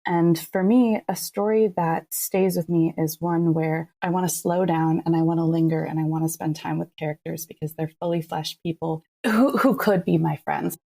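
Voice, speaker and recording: A woman with a low, soft-edged, mellow voice reflects on creative work as a podcast creator. She is earnest and thoughtful in her delivery. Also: fairly even intonation, normal speed, creaky, hardly any pauses. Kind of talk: reflective discussion.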